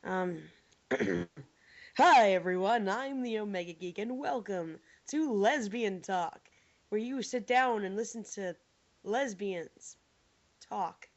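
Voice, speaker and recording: A woman co-hosting a podcast with a hoarse voice from laryngitis, joking and bantering in casual chat. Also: medium pitch, slow. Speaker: podcast co-host. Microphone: remote call microphone. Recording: dull lo-fi online call audio.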